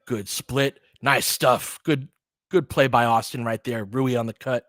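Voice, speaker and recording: A man's voice: mid-range voice, fairly even intonation, measured pace, fairly bright tone, no room sound, studio quality. A man doing live basketball play-by-play in short clipped phrases, with casual, partisan asides like a fan commentator.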